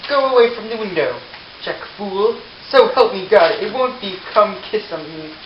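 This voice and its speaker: A man's voice: high-pitched, lively intonation, normal speed, slight background noise. A man voicing a saucy, scolding, teasing character in an amateur puppet show, with a brash manner. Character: saucy, scolding young wife or teasing townsperson. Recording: roomy, dull lo-fi sound, music behind.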